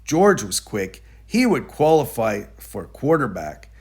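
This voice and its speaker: A man narrating a children's picture book aloud in a deliberate, explanatory manner. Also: medium pitch, very animated, husky. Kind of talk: children's storybook read-aloud.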